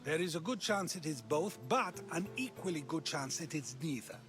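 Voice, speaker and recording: An actor playing a wry, talkative philosopher of ancient Greece who probes with measured questions in a video game. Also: male voice, on the high side, lively intonation, lightly acted. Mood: probing, wry. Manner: inquisitive, measured, rhetorical questioning. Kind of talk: video game cutscene acting. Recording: music behind the voice.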